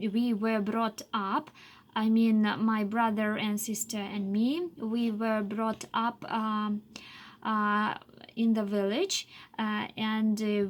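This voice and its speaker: Halting slow-speaking woman. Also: medium pitch. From Russia. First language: Chuvash. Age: 20s. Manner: halting, many filler pauses and restarts. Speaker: English learner telling her life story. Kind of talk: unscripted personal monologue.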